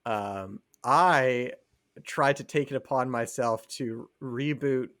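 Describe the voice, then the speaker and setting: A man's voice: medium pitch, takes it slowly, a bit bright, no echo, studio quality. A man co-hosting a casual podcast, talking in a theatrical, mock-dramatic way. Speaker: podcast co-host. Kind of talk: casual chat.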